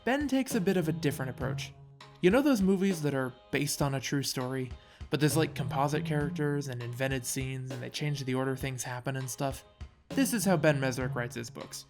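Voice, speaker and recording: A man's voice: mid-range voice, fairly quick. A man narrating a scripted video essay in a wry, casual storytelling manner, with dramatic touches. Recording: music playing behind voice.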